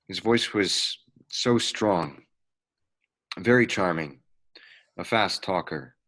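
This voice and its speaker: Man acting a deep, slow solemn reverend. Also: fairly bright tone. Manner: measured, solemn. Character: solemn, smooth-talking reverend giving a eulogy. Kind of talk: amateur play readthrough, eulogy monologue.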